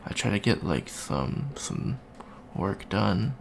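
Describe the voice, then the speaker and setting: Gravelly, awkward young alien student voiced by a man. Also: fairly low pitch, very animated, takes it slowly, background noise, exaggerated voice. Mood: awkward, chatty. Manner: hesitant, stammering, awkward small talk. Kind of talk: improvised ASMR roleplay, casual chat.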